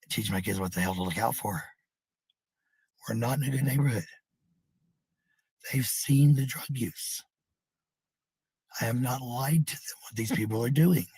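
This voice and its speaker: A middle-aged man with a gravelly, husky voice talking casually to viewers in a blunt, profane, rambling monologue, repeating phrases for emphasis. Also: medium pitch, moderate pace, long pauses, darkish tone, dry recording, low-quality recording.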